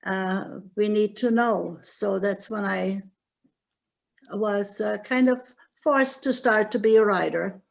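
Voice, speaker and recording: An older woman with a German accent, an author telling her wartime memories in an interview, speaking haltingly with many pauses. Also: mid-range voice, creaky. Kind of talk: interview, recounting memoir.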